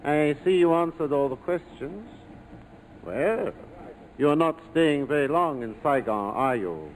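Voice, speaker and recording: A smooth police lieutenant of an old radio play, his fairly high voice swooping with courtly politeness. He probes and insinuates in formal phrases. The broadcast has a light layer of noise. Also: lively intonation, unhurried. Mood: smooth, probing. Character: suave, probing police lieutenant in colonial Saigon. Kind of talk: 1940s radio acting. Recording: noisy 1940s radio broadcast.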